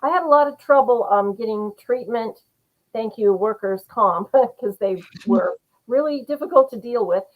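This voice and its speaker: A woman's voice: fairly high pitch, normal speed, phone-quality sound. A woman guest author from Rhode Island speaking earnestly and reflectively in a casual interview, often murmuring agreement. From Rhode Island, USA. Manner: earnest, reflective, frequent mm-hmms.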